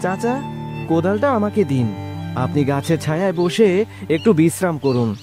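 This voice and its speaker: A man's voice: on the high side, very animated, normal speed, music in the background. A man voicing a sad, obedient orphaned schoolboy in a children's cartoon, pleading and polite.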